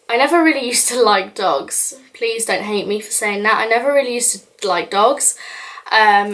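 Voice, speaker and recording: A young woman from the UK talks through a question list for her vlog. Her delivery is chatty and rambling, full of little fillers and restarts, at a middle pitch. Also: fairly bright tone. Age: young adult. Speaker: YouTuber. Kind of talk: casual get-to-know-me vlog.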